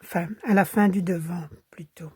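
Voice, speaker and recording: A woman with a Belgian French accent, hoarse from a cold, calmly teaching a crochet pattern step by step and counting stitches under her breath. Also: fairly low pitch, moderate pace, no room sound, studio quality.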